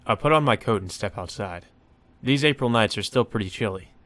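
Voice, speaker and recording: Deeper-voiced man reading a visual novel. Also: lively intonation, fairly quick, a bit bright, no room sound. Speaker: Let's Play host. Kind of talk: reading a visual novel aloud with casual commentary.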